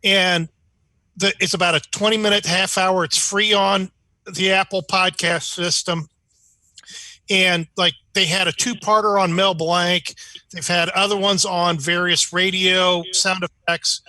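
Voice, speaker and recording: A man's voice: high voice, level tone, unhurried, pushed and bright, dry recording. A man chatting casually as a club member at an online meeting, speaking into a video call microphone. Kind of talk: casual chat.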